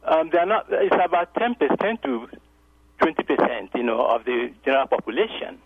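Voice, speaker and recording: This caller talks in halting bursts, full of ums and restarts, then pushes his point with rising insistence. His voice is dry and close, squeezed through a tinny phone line, at an ordinary middle pitch. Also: very animated, slightly rough, no echo. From Nigeria. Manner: hesitant, many fillers, grows insistent. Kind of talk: radio call-in.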